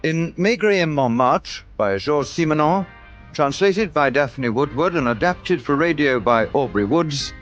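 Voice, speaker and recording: Slow, formal male radio announcer. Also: a little high. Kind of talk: reading credits. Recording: dull lo-fi broadcast with faint music.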